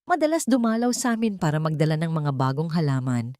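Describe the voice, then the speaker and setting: A woman's voice: deep voice, sing-song, quick pace, darkish tone, no echo, studio quality. A woman reading a scripted dramatic first-person story as an audiobook narrator.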